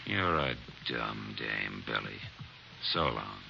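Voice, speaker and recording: An American man acting a hard, callous ex-con burglar with gravelly, curt, slangy talk in a 1940s radio crime drama. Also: extremely low pitch, lively intonation, slow pace, pushed and bright, full character voice. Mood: contemptuous. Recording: dull, hissy old radio recording.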